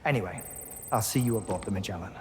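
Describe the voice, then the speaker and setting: A man's voice: fairly low pitch, fast talker, a little hiss or hum, natural voice. An actor playing a genteel, courteous older man in a video game, with polite, old-fashioned phrasing. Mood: fond, inviting. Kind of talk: video game cutscene dialogue.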